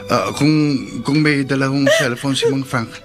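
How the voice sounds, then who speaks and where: A man's voice: medium pitch, steady tone, moderate pace, music in the background, close to an everyday voice. A man acting a kind, respectful young working man in a Filipino radio drama, speaking politely and earnestly. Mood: earnest, reassuring.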